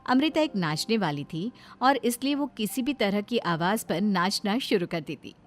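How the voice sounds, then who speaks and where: A woman's voice: medium pitch, animated, moderate pace. Woman narrating a story over music.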